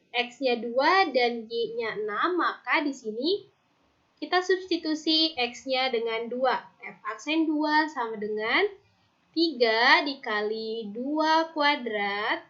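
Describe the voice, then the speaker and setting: A woman teacher from Indonesia explaining math step by step in a recorded online lesson, patiently slowing down on formulas. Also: high voice, bright, forceful tone, muffled recording. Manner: patient, steps through formulas aloud, slows on terms.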